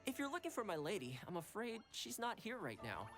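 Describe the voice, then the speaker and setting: Friendly laid-back game retainer, very animated delivery, music clearly behind him. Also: male voice, high-pitched, brisk, exaggerated voice. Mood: amused, friendly. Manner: casual, affable. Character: friendly, easygoing young male retainer. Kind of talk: video game voice acting.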